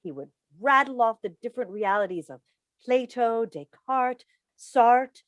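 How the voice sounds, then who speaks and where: A woman's voice: a little high, lively intonation, measured pace, no echo, clean studio sound. An actress playing an exasperated middle-aged talk show host and mother in a staged play reading over a video call. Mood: earnest, rueful.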